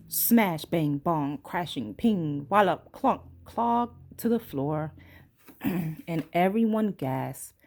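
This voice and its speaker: A woman's voice: lowish voice, mellow. A woman reading a picture book aloud to young children as a teacher, acting out its sounds and dialogue expressively.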